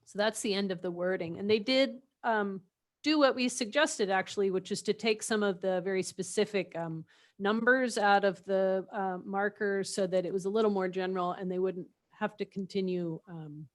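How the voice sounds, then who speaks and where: A woman with a scratchy voice at a public committee meeting on a video call, reading text aloud in a measured way that trails off at the ends of phrases. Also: medium pitch. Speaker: committee member.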